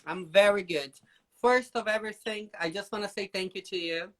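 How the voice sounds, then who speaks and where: A man's voice: high voice, moderate pace. A Brazilian man with a Brazilian Portuguese accent, hosting a casual live stream from the UK and chatting with his viewers in Portuguese and accented English. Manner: chatty, animated, addresses viewers as "gente". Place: home in the UK.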